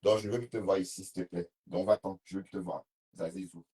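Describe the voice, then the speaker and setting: A man with a Cameroonian French accent chats casually on a live stream, replying to viewer comments and restarting his sentences as he goes. His voice sits on the low side. It has a husky, slightly rough edge. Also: fast, mellow. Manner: reads viewer comments and answers them, rambling with restarts.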